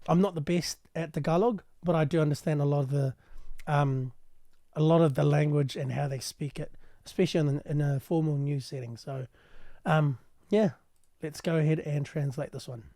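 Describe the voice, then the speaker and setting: A man translating and explaining a news clip in a casual, halting way with many pauses. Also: fairly high pitch, steady tone, mellow, dry recording, clean studio sound. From New Zealand. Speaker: translator and commentator.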